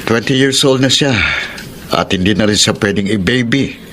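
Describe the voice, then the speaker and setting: An actor playing a calm, firm middle-aged widower in a Filipino radio drama, speaking in a measured, earnest way. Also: male voice, on the low side, slight background noise, lightly acted.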